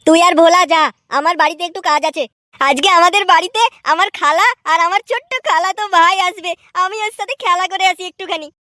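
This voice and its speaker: A performer voicing a cheeky village boy sidekick in a comic cartoon, chatty and crude in a high voice. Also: brisk, sharp tone, no room sound. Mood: alert. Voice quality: high-pitched.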